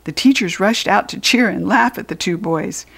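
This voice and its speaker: A woman with an American accent reading a children's book aloud as animated storytelling. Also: mid-range voice, normal speed, gravelly.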